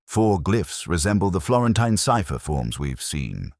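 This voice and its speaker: A man voicing an intense, precise detective in an audio story, speaking in a deliberate, commanding, didactic way. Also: low voice, sing-song, darkish tone, dry recording, clean studio sound, heavily acted. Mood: intent, measured.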